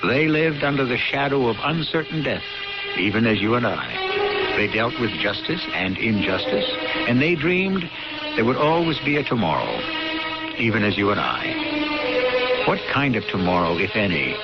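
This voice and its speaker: A man hosting a radio drama, narrating a script with solemn, theatrical, deliberate phrasing. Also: on the low side, huge pitch swings. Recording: dull radio broadcast with loud music. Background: loud music.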